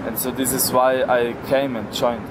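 A man's voice: medium pitch, flat delivery, moderate pace, crowd noise, low-quality recording. A young man in his late teens from Austria, giving earnest answers in an interview.

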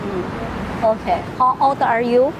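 Higher-voiced woman interviewer, noisy recording. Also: takes it slowly. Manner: frequent murmured backchannels. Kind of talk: on-location interview. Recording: clear outdoor ambient noise.

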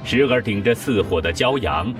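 Animated male narrator under loud music. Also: on the low side, moderate pace, recorded from a distance. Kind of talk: scripted, poetic documentary narration.

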